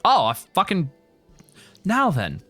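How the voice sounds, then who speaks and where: A man's voice: high voice, wildly swooping pitch, slow, a bit bright. A man giving casual, sweary live commentary as he streams a video game. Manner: chatty, sweary, self-commenting. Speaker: streamer voicing a game playthrough. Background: faint game music.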